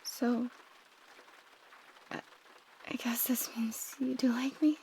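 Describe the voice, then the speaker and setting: A woman playing an affectionate, shyly teasing young roommate in an intimate ASMR roleplay, speaking softly and often dropping to a whisper. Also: fairly high pitch, steady tone, takes it slowly, gravelly, a little hiss or hum. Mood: relieved, affectionate.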